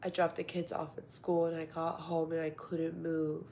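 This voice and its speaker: Low, creaky, congested woman. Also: completely flat delivery, moderate pace, a little hiss or hum. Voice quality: congested, nasal. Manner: soft-spoken, drifts into half-whispers, many fillers. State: stuffed up with a cold. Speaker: livestream host. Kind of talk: casual live-stream chat.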